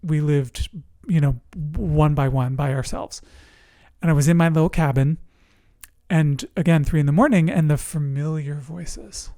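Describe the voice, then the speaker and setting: A resilience coach telling personal stories in a motivational talk, speaking earnestly and deliberately in short phrases with pauses. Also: medium pitch, steady tone, mellow, dry recording, clean studio sound. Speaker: host, emotional resilience coach.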